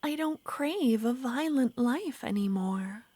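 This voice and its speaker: A woman's voice: on the high side, animated, slow pace, no echo. A woman playing a pleading ancient vampire maiden. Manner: soft, pleading, drifting into whispers. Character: ancient lovesick female vampire, pleading and shy. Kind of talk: ASMR roleplay.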